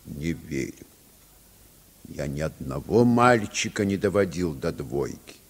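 An actor playing a proud, grave old Mohican chief in a solemn, declamatory mid-century Soviet children's radio play. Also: male voice, low-pitched, lively intonation, measured pace.

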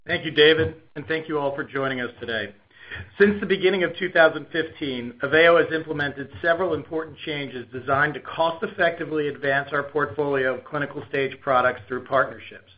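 A man's voice: fairly high pitch, brisk. A man giving a formal scripted business presentation as a company executive on an earnings call. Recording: dull, lo-fi conference call audio.

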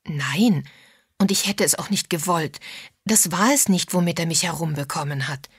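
Reader as husky, embarrassed, confiding wife. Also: female voice, on the low side, moderate pace, dry recording, studio quality. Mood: rueful, confiding. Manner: earnest, confessional. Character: unhappily married woman, embarrassed and confiding.

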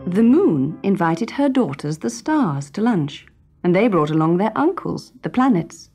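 A woman narrating a children's story in an expressive, clearly phrased way. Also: lowish voice, unhurried, soft background music.

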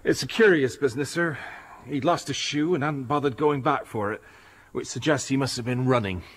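An actor playing a polite, matter-of-fact policeman in a radio drama, deferential and deliberate. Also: mid-range voice, natural voice. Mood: solemn, official.

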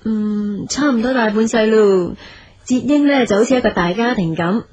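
A woman's voice: on the high side, moderate pace, a little mellow, natural voice. A woman playing a chatty, obliging long-serving office employee in a radio drama, with a Hong Kong Cantonese accent. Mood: helpful, admiring. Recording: slightly dull radio recording.

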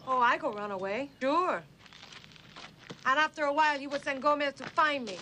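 A woman's voice: high-pitched, moderate pace, bright, forceful tone, slight background noise. An actress playing a fiery, bitter cantina girl who speaks in broken English, in an old screen drama. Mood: scornful, challenging. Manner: broken, non-native English phrasing. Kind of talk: dramatic mid-century screen acting.

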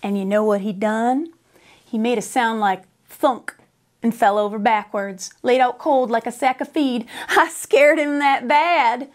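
A middle-aged American woman reading a children's story aloud with expressive, drawling, folksy Western dialect, recorded indoors on a lapel mic. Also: on the high side, a little slow.

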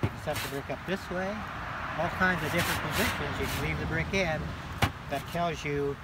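Older male, slightly high voice, patient explainer, casual mentor, very noisy outdoor phone video. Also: steady tone, fairly quick. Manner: patient, explanatory, repeats points. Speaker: beekeeping mentor. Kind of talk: casual hands-on instruction. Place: outdoors in a grassy field. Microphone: handheld camera a short distance away.

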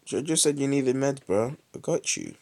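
A young British man with a lively voice commentating his mobile game live, chatty with sudden excited outbursts, saying he is ill. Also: mid-range voice, moderate pace, gravelly. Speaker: streamer.

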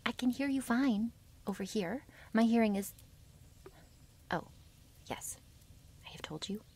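A woman voicing a wary, possessive young female werewolf in an intimate ASMR roleplay, breathy and half-whispered, with halting, formal phrasing. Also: medium pitch, a little mellow. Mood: hesitant, shy. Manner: halting, stiffly formal phrasing without contractions.

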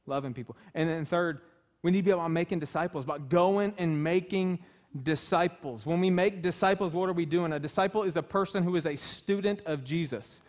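A man's voice: fairly high pitch, a little fast. A man in his thirties preaching a sermon as pastor, emphatic and passionate, in a small church. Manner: passionate, emphatic, gesturing freely while preaching. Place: small church stage with wood-panel walls.

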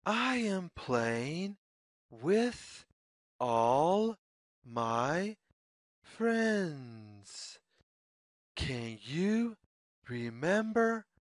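Read-aloud storyteller for young children: very slow, deliberate phrasing, lively sing-song intonation, mid-pitched voice. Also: dry recording, studio quality.

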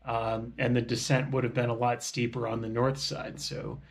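A man's voice: medium pitch, level tone, moderate pace, gravelly, dry recording. A middle-aged man talking to a camera held at arm's length, giving plain, matter-of-fact commentary on his backcountry ski tour outdoors in the mountains. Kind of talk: casual narration to a handheld camera. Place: outdoors on snowy mountain slopes. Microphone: action camera held at arm's length.

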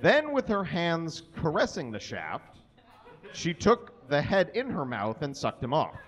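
A man in his thirties gives a live reading of a story from a small stage. His voice sits a little high and swings widely in pitch. He speaks into a microphone as a performer reading from a page. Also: huge pitch swings, measured pace. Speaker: reader at a live comedy show. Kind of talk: dramatic reading of fan fiction to an audience. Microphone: handheld or stand microphone.

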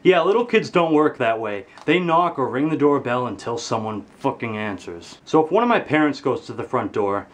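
A man's voice: lively intonation, moderate pace, hardly any pauses. A teenage boy ranting to his webcam in his bedroom, with comedic, exaggerated anger and lots of swearing. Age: teens. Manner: exaggerated angry ranting, swearing, pitch jumping high when outraged. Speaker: vlogger. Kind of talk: comedic rant to camera. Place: bedroom, indoors.

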